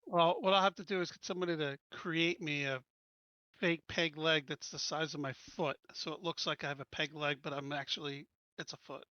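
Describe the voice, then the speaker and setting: A man talks casually as a player in a tabletop game. His voice sits a little high and has a bright, forward edge. The recording is dry and a bit muffled. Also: steady tone, fairly quick, no echo. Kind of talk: casual chat.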